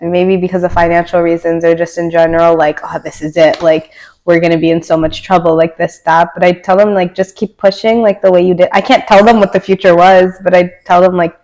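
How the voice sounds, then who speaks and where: A woman's voice: lowish voice, level tone, brisk, darkish tone, poor audio. A young woman from Canada with a Canadian accent, a podcast guest talking casually, filling her speech with many "like"s and laughing often. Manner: chatty, filler-heavy with many likes, laughs often.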